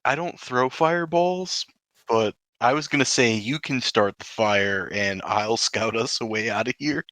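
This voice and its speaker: A man's voice: medium pitch, a little slow, sharp tone, no echo. A man chatting casually as a player in a tabletop roleplaying game.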